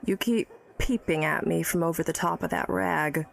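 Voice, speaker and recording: A woman's voice: fairly low pitch, normal speed, creaky. An actress playing an educated, tipsy, wry woman of early-1900s New York in a period audio drama, teasing and guarded. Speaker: storyteller recalling her childhood.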